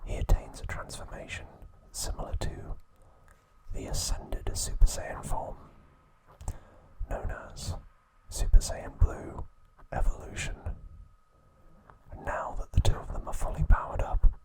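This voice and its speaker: A man hosting an ASMR video, recapping in a soft, unvoiced whisper. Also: measured pace, low-quality recording. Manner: brief aside to his cat. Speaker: ASMR host.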